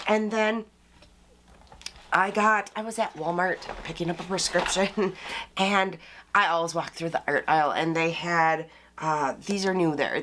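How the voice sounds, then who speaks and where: Woman vlogger with a sick, worn voice. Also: on the low side. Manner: chatty, rambling, laughs between thoughts. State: losing her voice from illness. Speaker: art vlogger. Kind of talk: casual art studio vlog chat. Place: home art studio.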